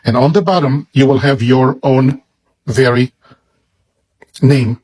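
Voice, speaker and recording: A man with a Hebrew accent, a native Hebrew speaker, slowly and reverently showing off a bible as a product. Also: mid-range voice, fairly even intonation, darkish tone, breathy edge. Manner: slow, deliberate, reverent. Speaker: seller presenting a bible. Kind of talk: product demonstration.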